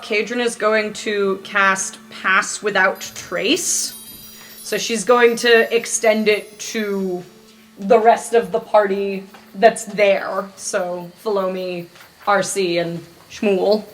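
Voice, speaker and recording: A woman improvises her character's moves in a tabletop game. She talks slowly at a medium pitch, with music in the background. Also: rough recording. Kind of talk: improvised roleplay.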